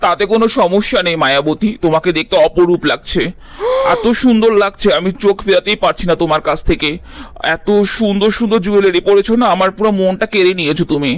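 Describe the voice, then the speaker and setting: Man voicing a high, quick-talking, touchy groom. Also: clean tone, close to an everyday voice. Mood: doting. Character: offended, doting bald groom in his late thirties. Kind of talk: cartoon voice acting.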